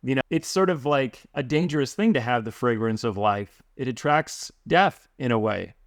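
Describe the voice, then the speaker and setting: A man's voice: mid-range voice, lively intonation, normal speed, no room sound, clean studio sound. A man co-hosting a podcast, reading a scripted introduction and then chatting loosely with fillers. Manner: reads the intro crisply, then chats with fillers. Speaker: podcast co-host.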